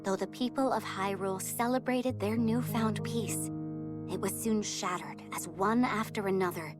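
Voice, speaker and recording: A woman narrating a royal legend in a fantasy video game, solemn, measured and formal. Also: medium pitch, close to an everyday voice. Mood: grave, measured. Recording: loud music under the voice. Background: loud music.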